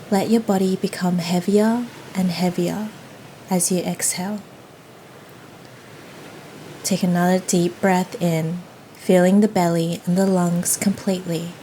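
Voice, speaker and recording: Slow, mellow woman guiding meditation. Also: lowish voice, poor audio. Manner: soothing, gentle, unhurried. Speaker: yoga nidra guide. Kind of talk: guided meditation. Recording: faint steady ocean noise behind. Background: ocean waves ambience.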